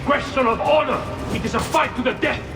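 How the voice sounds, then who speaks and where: An actor playing a fierce, proud warrior in a video game, defiant and declamatory. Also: male voice, extremely high pitch, brisk. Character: fierce proud male warrior sworn to deadly duel. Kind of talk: dramatic video game acting. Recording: echoey, distant from mic, loud music.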